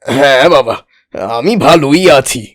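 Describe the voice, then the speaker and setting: A man's voice: medium pitch, unhurried, dry recording, studio quality, natural voice. A man voicing a proud village landlord uncle in a cartoon, with an emphatic, theatrical way of talking. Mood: genial. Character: proud village landlord uncle, genial but quick to outrage. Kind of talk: exaggerated cartoon dialogue.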